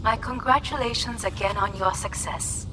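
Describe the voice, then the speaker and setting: A woman's voice: medium pitch, moderate pace, over music, lightly acted, rough recording. An actress playing a composed female corporate superior in a video game, polite and formal. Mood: courteous, businesslike. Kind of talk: cinematic video game acting.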